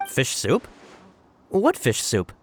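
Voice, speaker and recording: A man's voice: medium pitch, huge pitch swings, slow pace, slight background noise. A man voicing an earnest, deferential subordinate in a video game, reporting to his superior with emphatic insistence. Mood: puzzled, frank. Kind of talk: scripted game dialogue.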